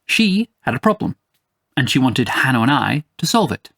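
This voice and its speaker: A man's voice: mid-range voice, big pitch swings, moderate pace, creaky, fairly bright tone, exaggerated voice. A man voicing a boastful leonine beast who narrates a fantasy story in formal, self-important, theatrical phrasing. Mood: confiding, self-important. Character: boastful leonine beast bard, grandiose self-styled honest chronicler.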